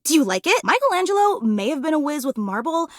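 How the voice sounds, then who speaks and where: A woman voicing an adoring, anxious superfan in a video game, effusive, dramatic and quick to put herself down. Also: high-pitched, very animated, no room sound, studio quality. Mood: excited, proud. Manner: effusive, dramatic, self-deprecating. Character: gushing, anxious, adoring superfan, an animate household object. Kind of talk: video game character voice acting.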